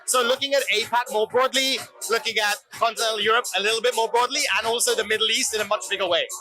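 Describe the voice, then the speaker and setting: A man talks business plans with confidence and a steady, businesslike tone. His voice sits high, and music plays clearly behind him. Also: quick pace. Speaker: head of a London office. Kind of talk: business interview.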